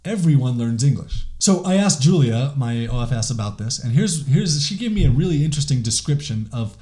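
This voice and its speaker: A man talks through a podcast episode alone in a loose, conversational way. His voice is warm and mellow, and he keeps dropping in "like" and restarting his sentences. His pitch sits in an ordinary middle range for a man. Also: expressive, a little fast. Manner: conversational, many "like" fillers and restarts. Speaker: podcast host. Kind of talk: casual solo podcast monologue.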